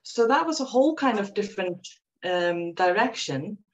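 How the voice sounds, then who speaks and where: A woman's voice: mid-range voice, lively intonation, normal speed, muffled recording. A Dutch woman in her forties, a guest in an interview, telling her story reflectively with many ums and restarts. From Netherlands. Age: 40s.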